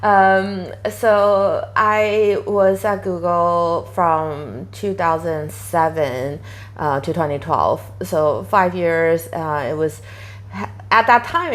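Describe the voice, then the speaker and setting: A woman in her thirties who grew up in Taiwan, talking as an interview guest in a chatty way, with many fillers and lively quotes of herself. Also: fairly low pitch. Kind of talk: casual interview conversation.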